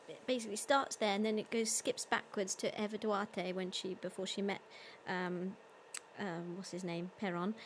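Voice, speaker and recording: High-voiced young woman chatting with viewers. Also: slight background noise. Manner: chatty, reads out viewer comments and thanks them warmly; retells a story loosely, searching for words. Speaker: singer hosting a live-streamed concert. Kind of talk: casual chat with online viewers between songs.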